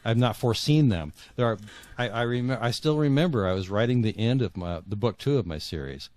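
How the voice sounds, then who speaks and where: A man's voice: mid-range voice, fairly quick, a little mellow. A middle-aged or older man talking reflectively as an interview guest, often repeating words as he searches for phrasing.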